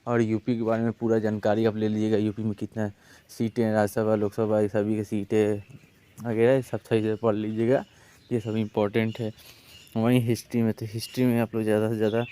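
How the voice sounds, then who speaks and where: A man's voice: lowish voice, monotone, a little fast, mellow, smooth. A man giving informal exam advice as a coach, repeating his instructions and addressing students directly.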